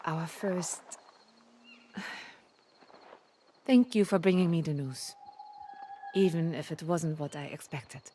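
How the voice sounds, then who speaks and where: A woman's voice: low voice, slow pace, long pauses, dark tone. Sad fond exasperated game townswoman, takes her time, bit of outdoor background noise. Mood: grieving. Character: grieving woman, fondly exasperated about her lost man. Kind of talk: video game character acting.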